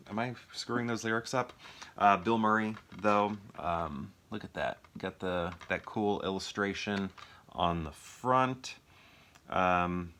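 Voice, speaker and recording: Male vlogger, low register with a bright, forward edge. Unscripted, rambling movie-review chat, thinking out loud. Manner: rambling, thinking aloud, self-questioning. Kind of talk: casual impromptu chat to viewers.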